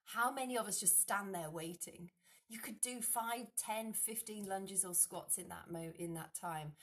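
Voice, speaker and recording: A middle-aged woman talks straight to a phone camera from a dim room at home. She coaches fitness in an easy, animated way, hands moving as she speaks, stopping on fillers and restarting her sentences. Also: medium pitch, measured pace. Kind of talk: casual talk to camera.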